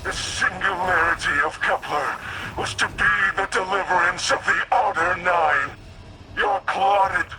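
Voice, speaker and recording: An actor playing a solemn, accusing otherworldly being in a sci-fi game, speaking in grandiose, cryptic pronouncements. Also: male voice, sits high. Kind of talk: sci-fi game cutscene acting. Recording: very heavy background noise.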